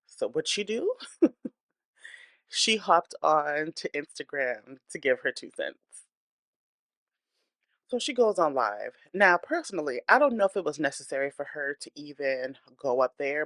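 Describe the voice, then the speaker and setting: A woman's voice: low-pitched, fairly bright tone, no room sound, clean studio sound. A woman hosting a celebrity gossip channel, speaking in a blunt, opinionated, chatty way while congested from a cold and breathing hard. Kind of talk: casual celebrity gossip commentary.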